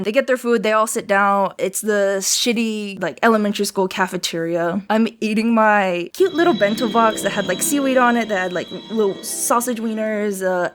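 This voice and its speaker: A young woman with an American accent tells comedic stories casually, in an animated, sarcastic, sweary way. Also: mid-range voice. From United States and Japan. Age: young adult. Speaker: storytelling video creator.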